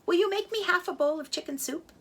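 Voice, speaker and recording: A woman voicing a poorly, whiny old king in a told folk tale, high and complaining. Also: fast talker, fairly bright tone.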